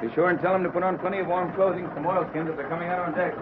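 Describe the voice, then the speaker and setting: An American man playing a calm, wry, fatherly boat captain in an old-time radio adventure, with an easygoing, reassuring manner. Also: high-pitched, racing, lightly acted. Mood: brisk, concerned. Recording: tinny, noisy old radio recording.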